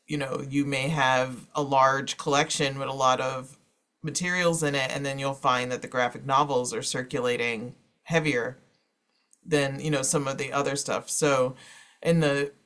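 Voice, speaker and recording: A man's voice: mid-range voice, steady tone, moderate pace, fairly bright tone. A man moderating an informal panel presentation, talking in a discursive way peppered with "you know".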